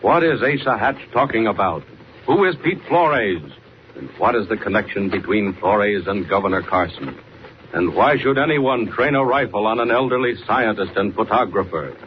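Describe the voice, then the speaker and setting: A man's voice: on the low side, expressive, normal speed. A man narrating and announcing a 1940s radio serial in a dramatic, declamatory manner. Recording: muffled, noisy old 1940s radio recording.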